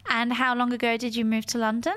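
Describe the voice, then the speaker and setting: A woman's voice: fairly high pitch, flat delivery, fast talker, fairly bright tone, clean tone. A woman hosting a podcast, introducing the show and interviewing a guest. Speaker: podcast host.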